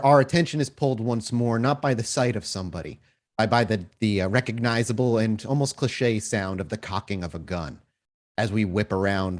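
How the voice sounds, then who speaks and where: A man running a tabletop roleplaying game, narrating in wordy, cinematic detail and putting questions to players. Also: lowish voice. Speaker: game master and storyteller.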